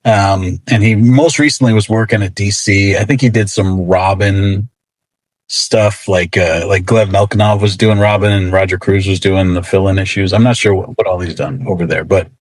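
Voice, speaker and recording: A man's voice: low-pitched, steady tone, normal speed, no room sound, low-quality recording. A man speaking casually as an interview guest, rambling and self-deprecating. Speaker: interview guest, comic book writer.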